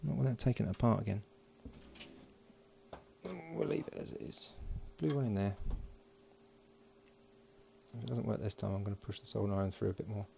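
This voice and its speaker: A man talking himself through a hands-on electronics project, halting and thinking aloud with frequent restarts. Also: on the low side, creaky, dark tone, a little hiss or hum. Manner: halting, muttering, many restarts and fillers. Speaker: electronics hobbyist.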